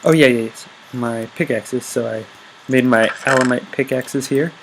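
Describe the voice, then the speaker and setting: A man's voice: mid-range voice, moderate pace, background noise. A young man with a stuffy, congested voice, giving casual upbeat gaming commentary full of ums and self-corrections. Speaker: gaming YouTuber. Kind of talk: casual Let's Play commentary.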